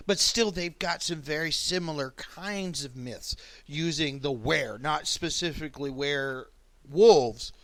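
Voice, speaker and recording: Slow-talking bright-voiced man. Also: a little high, no room sound. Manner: slow and drawn out, quick reactions. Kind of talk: casual podcast chat.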